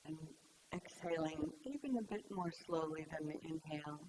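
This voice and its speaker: A woman's voice: low-pitched, animated, poor audio. Woman guiding meditation, hissy recording. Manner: gentle, unhurried instruction with long pauses. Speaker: meditation teacher. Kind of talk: guided meditation. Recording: clear background hiss.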